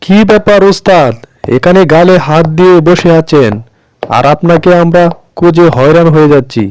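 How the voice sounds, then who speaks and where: A man's voice: on the high side, normal speed, darkish tone, smooth, no echo, close to an everyday voice, rough recording. A man voicing a deferential male fox sidekick in a cartoon, inquisitive and eager to agree. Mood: concerned, curious. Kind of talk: cartoon voice acting.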